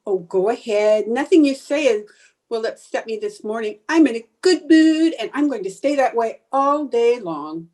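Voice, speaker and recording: A woman's voice: a little high, expressive, moderate pace, darkish tone. A woman playing a sarcastic, theatrical housewife in an old-time radio sitcom, with exaggerated, wisecracking delivery. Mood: playful, cheery. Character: sarcastic, theatrical young housewife with a quick temper.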